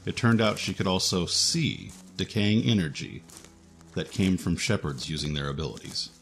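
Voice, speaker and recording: A man's voice: deep voice, moderate pace, gravelly, a little hiss or hum. A man reading scripted narration for a fantasy adventure story.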